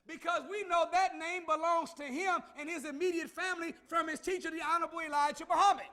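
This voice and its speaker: A man preaching a sermon to a congregation, calling out to them and building to shouts. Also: extremely high pitch, steady tone, quick pace. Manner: call-and-response preaching, rising to shouts. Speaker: minister.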